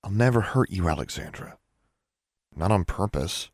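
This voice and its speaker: A man's voice: low voice, expressive, moderate pace, darkish tone, dry recording, clean studio sound. Man reading as an earnest, protective older brother. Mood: solemn, apologetic. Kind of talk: audiobook character voice.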